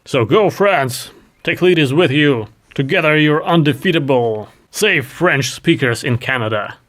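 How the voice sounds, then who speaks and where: A man's voice: a little high, lively intonation, measured pace, a bit bright. A man from Ukraine, a Russian speaker, hosting a heated commentary in a sarcastic, mocking tone. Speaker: commentary host. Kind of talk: reaction commentary.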